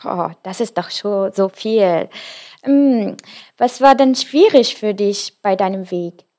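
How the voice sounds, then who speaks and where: A woman's voice: medium pitch, slow pace, darkish tone. A woman interviewing a guest, asking friendly, encouraging questions. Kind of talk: casual interview.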